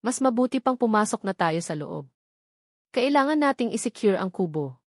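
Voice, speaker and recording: A woman voicing a guarded, wounded man who speaks with calm firmness in a romantic audio drama. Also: medium pitch, moderate pace, dry recording, clean studio sound. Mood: concerned. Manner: calm, firm, brooding. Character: guarded, wounded but reassuring island man.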